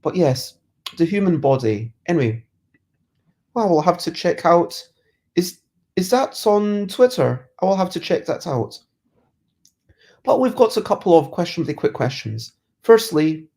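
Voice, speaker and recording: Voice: medium pitch, very animated, measured pace, pauses often, a little mellow. A streamer with a stuffy cold, musing in casual rambling chat and reading out viewer comments. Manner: musing, easygoing, self-interrupting. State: congested with a cold. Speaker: streamer hosting a chat show.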